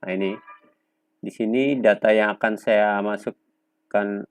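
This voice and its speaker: A man presenting an unscripted software tutorial step by step, speaking in a halting, instructional way with pauses between short phrases. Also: lowish voice, steady tone, dry recording. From Indonesia. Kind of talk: unscripted step-by-step screen demonstration.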